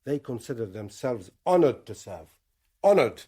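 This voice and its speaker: A militant commander in a radio drama. His voice is mid-pitched and deliberate, and he questions people formally, with menacing courtesy and complete authority. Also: very animated, dark tone, husky. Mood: coolly authoritative. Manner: deliberate, interrogating, formal, imperious.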